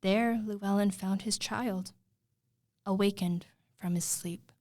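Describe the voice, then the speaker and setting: A woman retelling a fairy tale as a storyteller, in a dramatic, expressive way with suspenseful pauses. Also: medium pitch, no echo, clean studio sound.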